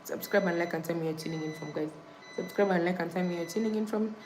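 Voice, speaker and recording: A woman's voice: lowish voice, fast talker, background noise. Young Kenyan woman chatting on a livestream. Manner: repeats thank-yous and subscribe reminders, reads comments aloud. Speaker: livestream host. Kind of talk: casual live-stream chat with viewers.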